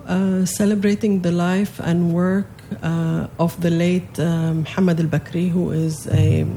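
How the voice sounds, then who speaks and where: A woman from Palestine whose first language is Arabic speaks slowly in a low, mellow register with a gravelly edge. She pauses often and restarts her sentences while answering an interviewer. A faint ambient hum sits underneath. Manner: hesitant, many fillers and restarts. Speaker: festival organizer, guest.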